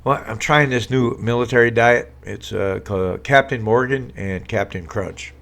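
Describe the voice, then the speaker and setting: A man's voice: mid-range voice, normal speed, creaky, a bit bright. A man hosting a casual live stream from home, talking politics in a sarcastic, rambling way with many fillers and restarts. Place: home room with a green screen.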